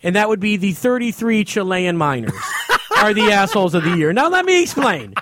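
Very high-pitched, animated American man hosting. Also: fairly quick, noisy background. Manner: excitable, repeats and insists on his point. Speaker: radio show host. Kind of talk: casual comic chat.

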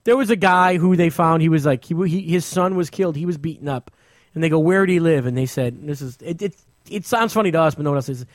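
An American man with a fairly high-set voice, recorded dry with little room sound. He talks conversationally as a show co-host, repeating himself and circling back as he goes. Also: fast talker, no room sound. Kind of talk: casual chat about television.

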